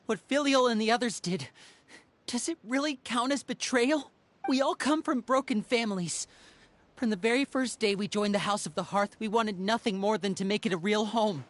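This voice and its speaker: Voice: mid-range voice, moderate pace, a bit bright, close to an everyday voice. An actor playing an earnest, articulate teenage boy in a video game, imploring in manner. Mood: pleading. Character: earnest teenage boy pleading with his guardian. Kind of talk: dramatic video game acting.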